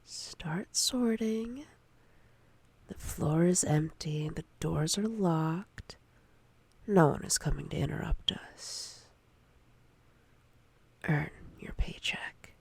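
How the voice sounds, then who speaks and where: Casting: actress for predatory female manager, intimate ASMR roleplay. Slow, deliberate, commanding delivery, whispery with vocal fry. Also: low voice, no room sound, exaggerated voice. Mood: teasing, possessive. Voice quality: breathy, often whispered. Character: domineering, predatory female boss toying with a nervous employee.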